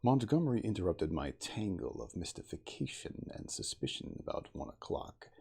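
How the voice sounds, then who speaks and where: A man giving a theatrical, deliberate ASMR storybook reading as the narrator of a classic novel. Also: deep voice, big pitch swings, creaky, mellow, husky.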